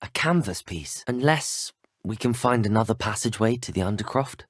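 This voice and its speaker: A man's voice: lowish voice, lively intonation, normal speed, dry recording, clean studio sound. A young man with a British accent voicing a courteous, earnest teenage wizard in a video game, well-spoken and formal. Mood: confident, curious. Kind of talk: scripted video game dialogue.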